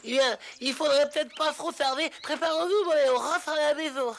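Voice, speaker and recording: High, creaky cartoon water rat. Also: male voice, fairly quick, slight background noise. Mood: polite. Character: earnest, polite male water rat. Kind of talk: French cartoon dub acting.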